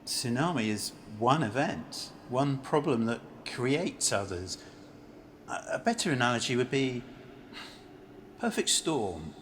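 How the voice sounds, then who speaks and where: A man's voice: mid-range voice, big pitch swings, takes it slowly, fairly bright tone. A man acting a bitter, grief-worn former banker down on his luck in an amateur radio play, blunt, cynical and world-weary. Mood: scornful. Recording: home recording with faint background noise.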